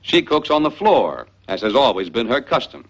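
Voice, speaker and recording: A man's voice: medium pitch, very animated, moderate pace, faint music behind. A man narrating a scripted 1940s educational film in a didactic, folksy storytelling manner. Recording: dull vintage 1940s film soundtrack.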